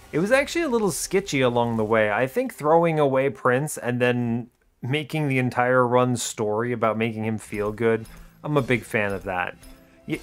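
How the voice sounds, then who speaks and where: A man's voice: medium pitch, clean tone. A man giving casual, explanatory video game commentary in an informal way, often checking in with the listener. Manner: informal, animated bursts, checks in with rhetorical "right?" and "okay?". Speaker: video game streamer, series host.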